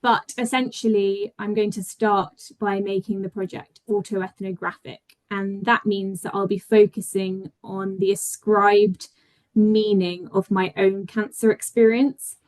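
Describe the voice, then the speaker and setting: A woman's voice: mid-range voice, a little mellow, rough recording. A woman with a British accent, from Spain, presenting her research project in a thoughtful talk with frequent fillers and self-corrections. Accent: British English. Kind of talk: conference talk.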